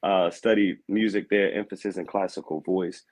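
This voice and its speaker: Laid-back guy in his 30s, real low voice, chats casual and laughs a lot. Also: fairly even intonation, moderate pace, darkish tone. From Aberdeen, Maryland. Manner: easygoing, laughs often, says "man" a lot. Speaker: guest, vocal coach and assistant pastor. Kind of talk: casual podcast chat.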